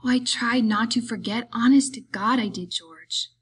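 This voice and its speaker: A woman voicing a big, simple, childlike male farm laborer in an audiobook, eager and pleading, with halting, repetitive, rustic speech. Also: fairly high pitch, slightly rough, voice pushed up. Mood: timid, pleading.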